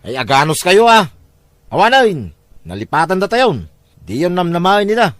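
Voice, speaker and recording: Scornful, high-pitched man acted out by a man. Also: sing-song, normal speed, fairly bright tone, no echo. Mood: dismissive. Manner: scornful, brusque. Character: abusive, lazy, scheming male relative who mistreats elders. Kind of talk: Ilocano audio drama acting.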